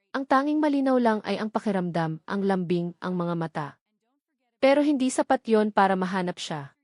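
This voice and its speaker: A woman with a Filipino accent narrating a scripted dramatic story as an audiobook, in a deliberate, emphatic way with short dramatic phrases. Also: mid-range voice, no room sound, studio quality.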